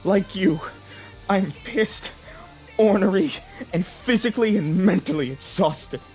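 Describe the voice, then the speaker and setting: A woman's voice: medium pitch, a little slow, dark tone, soft background music. A woman speaking to an audience.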